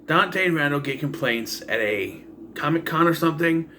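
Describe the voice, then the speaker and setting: A man giving a casual, rambling, improvised recap as a reviewer of an animated series. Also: fairly high pitch, normal speed. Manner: loose, improvised, acting out quoted bits. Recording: faint background noise.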